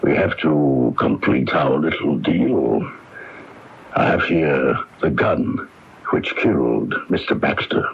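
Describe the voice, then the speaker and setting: An actor playing a coldly polite, menacing mob boss in a radio mystery, speaking formally and deliberately. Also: male voice, rumbling low, lively intonation, gravelly, full character voice. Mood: coldly insinuating. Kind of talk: radio mystery drama acting. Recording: dull, noisy AM radio recording.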